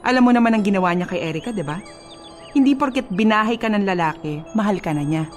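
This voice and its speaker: A woman's voice: medium pitch, expressive, quick pace, soft background music, natural voice. Woman acting a haughty, disapproving Filipino mother. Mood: sarcastic, dismissive. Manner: sarcastic, cutting, interrogating. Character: disapproving, haughty middle-aged mother of a grown son. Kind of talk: radio drama acting.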